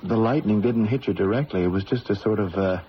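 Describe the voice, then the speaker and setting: Actor as tender husband on muffled radio. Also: male voice, on the low side, a little fast, close to an everyday voice. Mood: tender, relieved. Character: devoted, relieved husband. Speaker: actor in a radio mystery play. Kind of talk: 1970s-style radio drama acting. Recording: muffled, noisy old radio recording.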